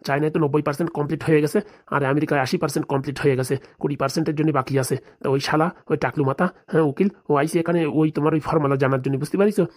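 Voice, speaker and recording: A woman's voice: sits low, fairly even intonation, racing, a little mellow. A woman voicing a resentful, henpecked husband in a comedy cartoon, confiding and insistent. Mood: secretive. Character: resentful henpecked husband, furtive, plotting against his wife.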